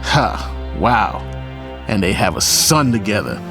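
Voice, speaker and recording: A man's voice: on the low side, big pitch swings, takes it slowly, full character voice. Man acting a world-weary police captain, faint music. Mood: scheming. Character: lonely, world-weary police captain narrating, scheming and wry. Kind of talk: first-person audio drama narration.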